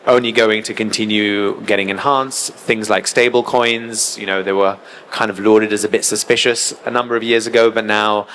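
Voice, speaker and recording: A man speaking as a panelist in a conference debate, explaining in a measured way with frequent fillers. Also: on the low side, a bit bright, slight background noise, low-quality recording. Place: conference stage.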